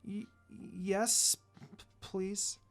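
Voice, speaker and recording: A man's voice: high-pitched, flat delivery, extremely slow, soft background music, heavily acted. A man voicing a timid little girl who speaks hesitantly in a story reading. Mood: nervous. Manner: stammering, hesitant.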